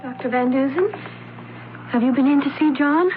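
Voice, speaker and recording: A woman's voice: sits high, moderate pace, natural voice. A woman playing an anxious, accusing young heiress, emotional and insistent, in an old-time radio drama. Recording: thin, noisy phone-like vintage radio recording.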